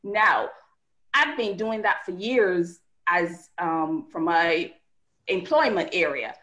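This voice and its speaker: Low-voiced Barbadian woman, animated. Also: takes it slowly. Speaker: guest author and coach. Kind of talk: podcast interview conversation.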